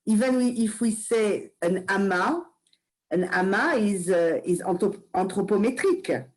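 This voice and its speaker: A woman with a French accent speaking over a video call. She talks in halting phrases, repeats herself and searches for words as she chats with her study group. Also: medium pitch, expressive. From France. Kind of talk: casual chat on a video call.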